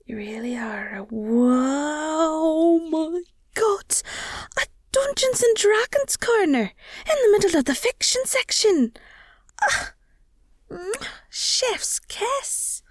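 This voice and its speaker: A woman playing an affectionate, nerdy werewolf girlfriend in an intimate audio roleplay, soft and breathy, often near a whisper, speaking tenderly. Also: extremely high pitch, lively intonation, no room sound, studio quality, full character voice. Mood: hesitant, curious, then awed, delighted. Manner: gushing, tender, hesitant with pauses and sighs.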